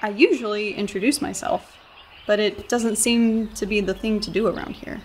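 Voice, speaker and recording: A woman's voice: medium pitch, fairly even intonation, moderate pace, slight background noise, lightly acted. A woman playing a sarcastic, skeptical young tourist in a radio play. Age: young adult. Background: birdsong, woodland ambience.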